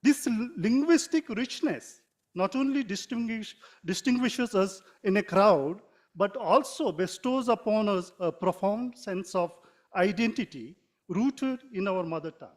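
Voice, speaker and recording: A man from Mangalore with an Indian English accent, a native Konkani speaker, reading a formal prepared talk in a measured way, now and then correcting himself. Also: high voice, animated. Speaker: writer giving a talk.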